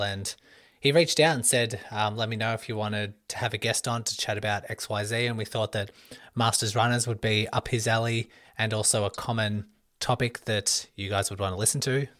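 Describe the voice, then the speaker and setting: A man hosting a running podcast, welcoming listeners and introducing a guest in a relaxed, loosely phrased way. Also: on the low side, a little fast, dry recording, studio quality. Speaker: podcast host. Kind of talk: podcast interview.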